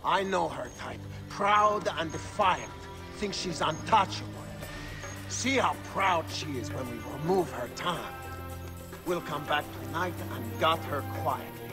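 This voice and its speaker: An actor playing a cruel, scornful soldier who gloats with menace in a dramatic game cutscene. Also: male voice, on the high side, unhurried, over music. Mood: gloating, menacing.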